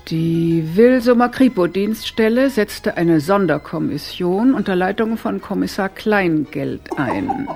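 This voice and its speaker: An actress playing a brisk, commanding gang leader, precise and matter-of-fact, in a radio crime drama. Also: female voice, lowish voice, animated, vocal fry, darkish tone, soft background music. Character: brisk, commanding woman leading an amateur gang.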